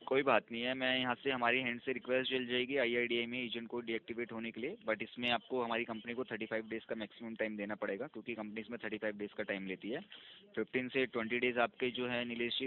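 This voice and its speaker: Brief: male caller on a tinny phone line, smooth and procedural, mid-pitched. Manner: smooth, procedural, persuasive, mixing English terms. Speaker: phone caller posing as insurance agent. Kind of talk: scripted sales phone call.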